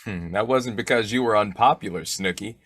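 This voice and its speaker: An actor playing a boyish, wheedling, wisecracking husband in a 1940s-style radio comedy, with a folksy, exclamatory delivery. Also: male voice, lowish voice, a little fast, fairly bright tone, no echo. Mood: wry, teasing.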